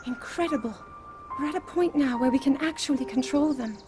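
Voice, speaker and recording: High-voiced earnest young princess played by an actress. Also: female voice, a little fast, music in the background, natural voice. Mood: hopeful. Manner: formal, refined, earnest phrasing. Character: earnest young princess, burdened and frustrated, dutiful. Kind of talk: dramatic video game cutscene acting.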